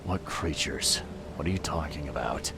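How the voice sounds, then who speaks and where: A man voicing a private investigator who asks calm, dry questions in a video game cutscene. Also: a bass voice, normal speed, gravelly, over loud music.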